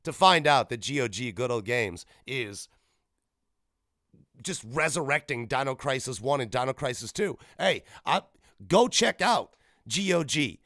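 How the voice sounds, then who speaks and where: A man's voice: medium pitch, expressive, a little slow, fairly bright tone, dry recording, studio quality. A man in his thirties hosting a solo gaming podcast, rambling casually and correcting himself as he goes. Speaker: podcast host. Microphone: podcast microphone.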